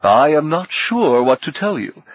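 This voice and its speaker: A man voicing a courtly, benevolent host who speaks formally and deliberately in an audiobook. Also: mid-range voice, big pitch swings, breathy edge, dry recording, dull audio, pitched above the performer's own voice, exaggerated voice. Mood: puzzled. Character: courtly male host, grand, benevolent, a little puzzled.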